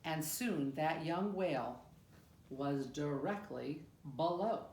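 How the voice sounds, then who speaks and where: A woman reading a rhyming picture book aloud in an expressive, measured storytelling manner with deliberate pauses. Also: low-pitched. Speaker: storybook reader. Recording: roomy, echoing room sound.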